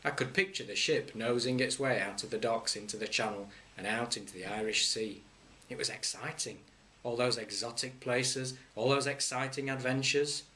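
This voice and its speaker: A man, a head teacher, reading a children's story aloud with wry humour and lively swings in pitch. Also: slight background noise.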